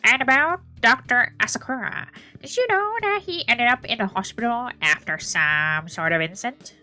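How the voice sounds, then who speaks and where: A woman reading the lines of a chatty young man who writes a gossip newsletter, in a breezy, lively manner. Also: fairly high pitch, moderate pace, soft background music, pitched above the performer's own voice. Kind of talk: reading visual novel dialogue aloud.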